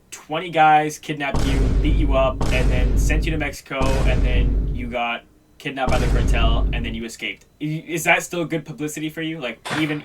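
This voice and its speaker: A man's voice: mid-range voice, a little hiss or hum. A man from Canada conducting a casual, informal interview, asking rambling questions.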